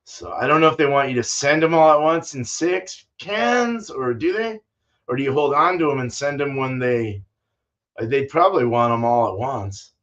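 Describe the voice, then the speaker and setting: Older man, medium pitch, laid-back, rambling, salty-mouthed, casual homebrewer livestream chat, dull webcam sound. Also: lively intonation, normal speed, fairly bright tone. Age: older, fifties or sixties. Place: small indoor home brewing room.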